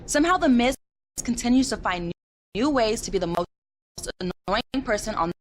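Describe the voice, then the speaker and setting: A woman's voice: on the high side, animated, normal speed, slight background noise, close to an everyday voice. An actress playing an exasperated female pro wrestler in a video game cutscene.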